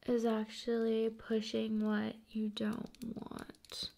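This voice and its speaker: A woman hosting an ASMR video, talking in an unhurried, hushed, conversational way that moves between soft speech and whispering. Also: fairly high pitch, completely flat delivery, vocal fry.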